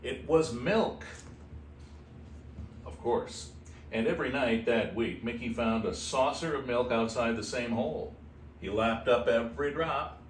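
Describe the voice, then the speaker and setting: A man's voice: medium pitch, unhurried. A man reading a children's book aloud as host, expressively, with casual asides to his listeners. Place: animal shelter room with kittens. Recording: echoey room, distant mic, faint noise.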